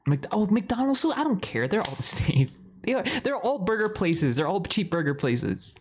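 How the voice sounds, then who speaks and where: A man's voice: high-pitched, sing-song, moderate pace, slight background noise. A young man playing a chatty, lovestruck boyfriend in an improvised ASMR roleplay, rambling in a playful, dramatic way. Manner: rambling, giggly, playfully dramatic, frequent swearing.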